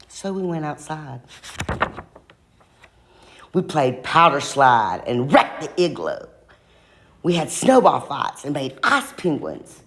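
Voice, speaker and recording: A woman reading a children's storybook aloud in an expressive way, slowing down for emphasis. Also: rumbling low. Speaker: school staff reader.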